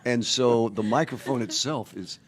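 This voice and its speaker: A man co-hosting a radio show, teasing dryly and clearing his throat now and then. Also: medium pitch, moderate pace, a crowd in the background. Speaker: radio co-host. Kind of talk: casual studio banter.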